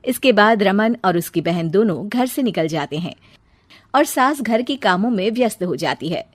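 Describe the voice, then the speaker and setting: A woman's voice: medium pitch, expressive, moderate pace, dry recording. A woman reading scripted story narration.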